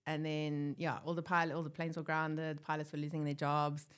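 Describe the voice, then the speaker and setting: Low voiced woman, chatty, laughs a lot, acts out what people said. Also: completely flat delivery, fairly quick, clear, resonant tone. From born in Germany, grew up in South Africa. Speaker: guest, airline pilot. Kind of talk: casual interview storytelling.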